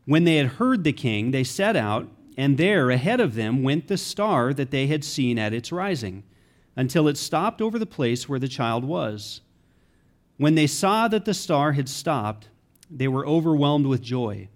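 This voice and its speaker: A man's voice: mid-range voice, very animated, unhurried. A man reading scripture aloud in a plain voice, with a small slip now and then. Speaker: scripture reader.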